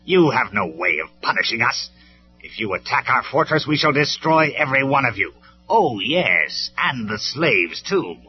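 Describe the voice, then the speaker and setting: A man's voice: mid-range voice, very animated, normal speed, voice pushed up, lightly acted. A man voicing a tyrannical robot warlord in a storybook reading, with a derisive, menacing manner. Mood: sneering, threatening. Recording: dull lo-fi recording with music.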